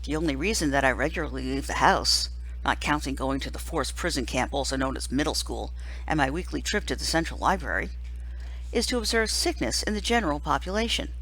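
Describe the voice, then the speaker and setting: Lively woman reading a novel aloud. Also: sits low, brisk, a little hiss or hum, low-quality recording. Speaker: teacher reading a book aloud. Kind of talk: expressive audiobook-style read-aloud of a first-person children's novel.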